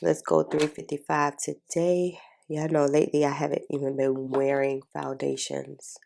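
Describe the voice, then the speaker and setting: A woman's voice: low-pitched, level tone, normal speed, creaky, darkish tone. A woman, congested, chatting casually through a makeup tutorial for her viewers. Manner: chatty, informal, addresses viewers as y'all. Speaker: beauty vlogger.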